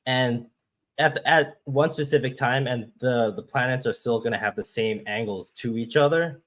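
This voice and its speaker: A man's voice: medium pitch, moderate pace, fairly bright tone. A man from Hong Kong, a guest astrologer, patiently explaining step by step over a video call. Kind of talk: explaining with shared screen.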